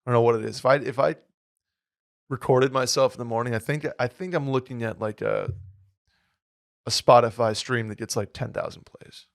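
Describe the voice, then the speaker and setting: A man co-hosting a podcast in casual chat, with a hoarse, slightly nasal voice and a rambling, upbeat way of talking. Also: medium pitch, steady tone, moderate pace, pauses often, no room sound, studio quality. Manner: rambling, filler-heavy, upbeat. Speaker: podcast co-host.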